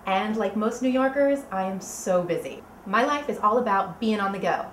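A woman in her thirties from Oklahoma, cheerfully talking a home cooking demo to camera in her kitchen. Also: on the high side. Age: 30s. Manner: chatty, bubbly, explains as she cooks. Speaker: home cook auditioning for a cooking show. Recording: echoey kitchen with faint room noise.

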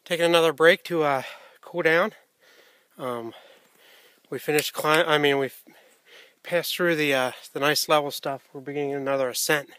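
A man's voice: a little high, steady tone, bright, forceful tone, no room sound. Middle-aged man vlogging outdoors on a hike. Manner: chatty, halting with many fillers. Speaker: hiker filming his hike. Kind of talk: casual vlog narration. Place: snowy forest on a mountain, outdoors. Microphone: handheld camera at arm's length.

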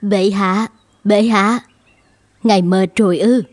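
A woman's voice: medium pitch, fairly even intonation, slow pace, dry recording. A woman voicing an attentive, dutiful royal consort in a children's cartoon, courtly and respectful. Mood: concerned, deferential. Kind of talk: dubbed children's cartoon acting. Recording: faint music behind.

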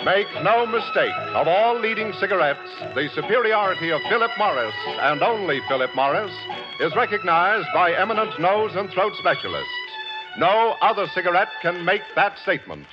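Booming old-time radio announcer man. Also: expressive, moderate pace. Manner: formal booming announcer delivery. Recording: dull old radio broadcast with music.

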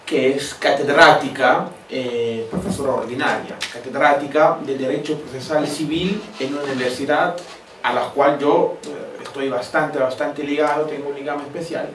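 A man from Italy with an Italian accent, speaking Spanish as a second language, gives a formal, halting introduction as a professor. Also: mid-range voice, fairly even intonation, fairly bright tone. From Italy, Turin. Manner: halting, pausing between phrases. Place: wood-panelled university seminar room. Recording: roomy, distant, noisy lecture hall sound.

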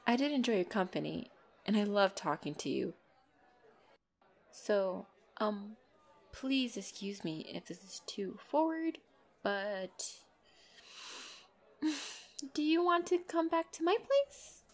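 A woman's voice: mid-range voice, lightly acted. A woman voicing a flirtatious, teasing female vampire in an intimate ASMR roleplay, playful and giggly with a hungry undertone. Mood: shy, inviting. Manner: playful, giggly, slow and coy, dropping into breathy whispers. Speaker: roleplay voice performer. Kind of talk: ASMR roleplay, intimate one-sided dialogue.

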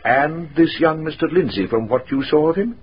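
An actor playing a bookish gentleman lawyer in a radio drama, formal, inquisitive and deliberate. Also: on the high side, lightly acted. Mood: probing, courteous. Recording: dull radio recording, faint noise.

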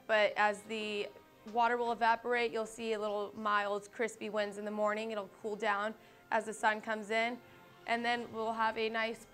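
A young woman, a student meteorologist, giving a weather forecast and chatting in a campus TV studio, eager and a little halting. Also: mid-range voice, fairly bright tone. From United States. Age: young adult.

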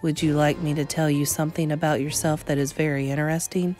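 A woman voicing a tender, frank grandmother in her eighties, measured and gentle, in storybook dialogue. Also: low-pitched, level tone, soft background music, pitched below the performer's own voice, natural voice. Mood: tender, wondering. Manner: measured, gentle, matter-of-fact. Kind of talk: acted children's storybook dialogue.

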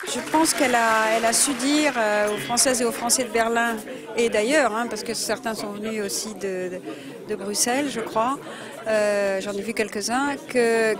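A woman comments fluently and with assurance, using a few small fillers, at a slightly raised pitch. Clear crowd noise runs behind her. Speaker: supporter commenting on the speech. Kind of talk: interview comment.

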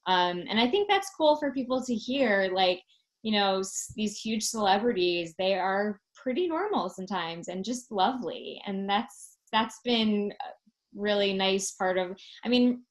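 A woman's voice: mid-range voice, expressive, a little slow. A woman in her thirties, a talent producer speaking as a guest in a casual video-call interview, friendly and upbeat with many fillers. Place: home room. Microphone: laptop webcam mic on video call.

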